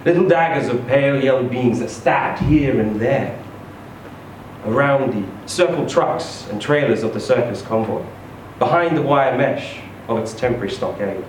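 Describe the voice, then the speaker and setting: A man reading a short story aloud in an expressive, theatrical, emphatic storytelling style. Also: mid-range voice, normal speed. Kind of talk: expressive live literary reading. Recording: echoey room, distant mic, faint noise.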